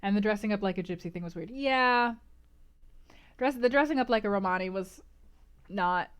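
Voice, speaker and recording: A woman hosting a casual book club livestream, discussing and answering chat in an animated, emphatic, conversational way. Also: medium pitch, moderate pace, clean tone.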